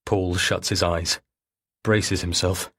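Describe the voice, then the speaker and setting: Slow, husky male audiobook narrator. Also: low-pitched, flat delivery, a bit bright. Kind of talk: scripted thriller narration.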